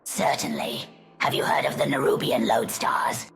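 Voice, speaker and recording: A woman's voice: extremely low pitch, normal speed, vocal fry, heavily acted. A woman voicing a scheming, scholarly undead spider sorceress in a fantasy game cutscene, with a whispery hiss and formal, sly delivery. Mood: sly, explaining. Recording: loud music behind the voice.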